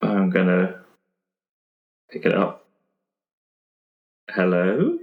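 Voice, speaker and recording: A man's voice: sits low, wildly swooping pitch, extremely slow, gravelly, phone-quality sound. A man playing a tabletop roleplaying game, chatting casually and improvising his moves. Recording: roomy, echoey room sound.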